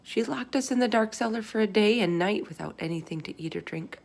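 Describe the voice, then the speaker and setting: A woman's voice: mid-range voice, a little fast, vocal fry, a little mellow. Woman reading as a frank little orphan girl. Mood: unhappy. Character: small orphan girl, frank, plucky and inquisitive.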